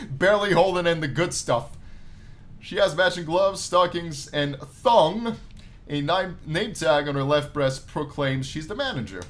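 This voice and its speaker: A man giving expressive let's play commentary, reading visual novel text aloud and adding his own drawn-out, enthusiastic asides. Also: a little high. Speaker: gaming YouTuber. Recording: noticeable background noise.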